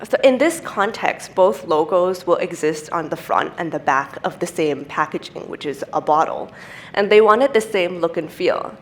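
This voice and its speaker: Young woman, native Thai speaker, conference presenter; lowish voice, calm and explanatory, podium mic with faint room hum. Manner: measured, explanatory, steady delivery. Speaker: type designer giving a conference talk. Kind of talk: presenting slides at a design symposium. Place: conference stage, indoors.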